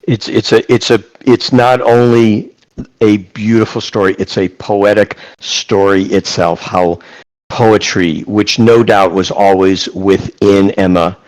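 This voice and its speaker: A man's voice: fairly low pitch, little pitch movement, slow pace, darkish tone, poor audio. A man hosting an online class on a video call, reading out chat questions and praising them effusively. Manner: effusive, repeats praise, reads questions aloud. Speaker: class host and moderator.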